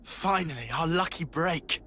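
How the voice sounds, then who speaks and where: A brash young game soldier, high for a man, light hiss behind. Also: unhurried, sharp tone. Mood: blunt.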